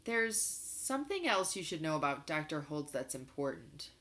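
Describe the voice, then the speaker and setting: A woman's voice: sits low, big pitch swings, moderate pace, a bit bright. Woman reading as firm, patient mother. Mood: patient, explaining. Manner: firm, admonishing.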